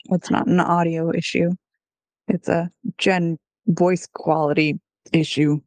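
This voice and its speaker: A woman with a slightly low voice hosts a podcast in a laid-back manner. She talks casually, and the sound is close and dry, with little room around it. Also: little pitch movement, slow pace, a little mellow, no echo. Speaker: podcast host. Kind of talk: casual podcast chat with some scripted intro.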